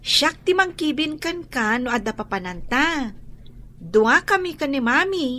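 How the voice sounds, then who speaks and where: A woman's voice: high-pitched, big pitch swings, measured pace, bright, forceful tone, slight background noise, heavily acted. A woman with a Filipino accent playing a caring, earnest schoolgirl in an audio drama. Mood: tender, earnest. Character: caring, earnest schoolgirl devoted to her blind brother. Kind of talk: Ilocano audio drama acting.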